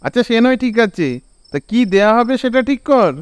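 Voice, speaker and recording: A man's voice: very high-pitched, expressive, moderate pace, no echo. A man with a Bengali accent voicing an easygoing, hesitant young village man in a cartoon. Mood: casual, defensive. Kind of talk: cartoon dialogue. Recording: clear background noise.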